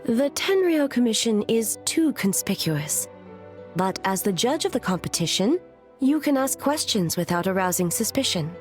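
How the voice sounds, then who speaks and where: A woman playing a dignified, solemn female ruler in a video game, speaking in a formal, measured, composed way. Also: on the high side, sing-song. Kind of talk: video game character acting. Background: game music.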